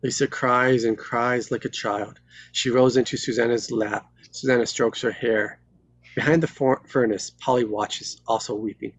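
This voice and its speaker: A man's voice: mid-range voice, fairly even intonation, normal speed, no echo. A man reading stage directions as scripted narration at a live table read.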